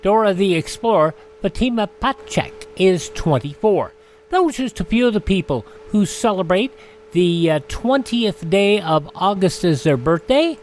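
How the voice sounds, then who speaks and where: A male radio host presents a roundup in an animated, varied voice. There is faint noise in the background. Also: high voice, moderate pace. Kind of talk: radio birthday roundup and show announcing.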